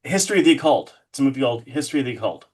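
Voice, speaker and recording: A man's voice: mid-range voice, expressive, rapid-fire, a little mellow, slightly rough. A man talking casually and enthusiastically as a guest in an interview, with an effusive way of putting things. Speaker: podcast guest, horror artist.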